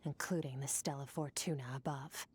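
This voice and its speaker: A woman's voice: rumbling low, steady tone, moderate pace, husky, heavily acted. A woman voice actor playing an aloof, icy, powerful woman warrior in a video game, speaking in a cool, detached, formal way. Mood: cold, detached. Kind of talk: video game character acting.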